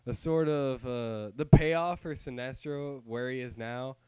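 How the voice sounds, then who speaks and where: A man's voice: mid-range voice, measured pace, a little mellow, clean tone, no echo. Man, clean resonant voice, casual co-host chat, wry, enthusiastic fan talk. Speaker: comic book review co-host.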